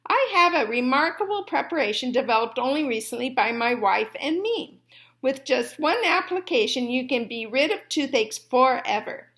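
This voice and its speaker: A woman's voice: high-pitched, expressive, moderate pace, a bit bright. A woman reading a storybook as a firm, wary male mouse dentist who speaks emphatically. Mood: professional assurance.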